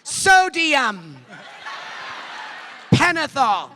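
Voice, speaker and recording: Panelist with a high, very animated voice and slow delivery, dropping quips in a noisy hall. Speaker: voice actor panelist. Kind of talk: quick panel interjections. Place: large convention panel hall. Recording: noisy live convention hall PA.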